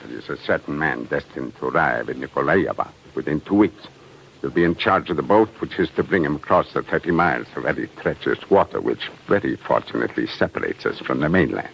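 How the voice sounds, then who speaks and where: An actor playing a scheming, corrupt prison commandant, imperious and sardonic, in an old radio drama. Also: male voice, fairly bright tone, full character voice. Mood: sly, plotting. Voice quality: gravelly, very deep. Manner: deliberate, bullying, sardonic. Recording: noisy old 1940s radio recording.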